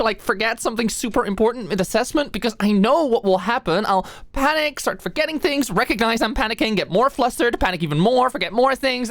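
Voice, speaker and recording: A woman's voice: on the high side, no echo, lightly acted. Woman acting as anxious, rambling young student. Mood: frantic, overwhelmed. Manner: rambling, stammering, hesitant, swearing. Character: anxious, stressed-out young female medical student, self-doubting, sweet. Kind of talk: intimate ASMR roleplay monologue.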